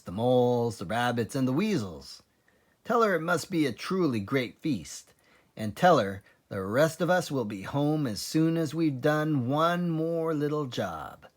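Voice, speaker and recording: A man voicing a cunning, bossy, food-loving father fox in a children's storybook, with a relishing, exclamatory manner. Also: medium pitch. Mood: fond, commanding.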